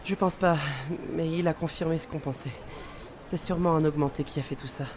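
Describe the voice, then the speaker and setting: A woman's voice: low-pitched, steady tone, a little fast, background noise, close to an everyday voice. A woman playing a fragile, guarded investigator in an audio drama, speaking tersely and informally. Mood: terse, composed. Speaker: voice actor.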